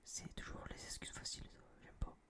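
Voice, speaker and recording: A young man whispering a casual, opinionated ASMR review in a breathy voice, rambling and slangy, close to a binaural microphone. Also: a little fast. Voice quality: breathy, mostly unvoiced whisper. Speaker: host of an ASMR film review video.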